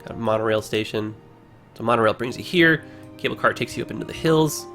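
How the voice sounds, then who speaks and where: A man chatting through his livestream in a loose, rambling way, full of ums and uhs. His voice is hoarse and worn down, with a gravelly rasp at the bottom of a middling pitch. Faint music sits under him. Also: brisk. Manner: rambling, relaxed, many fillers. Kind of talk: casual gameplay commentary.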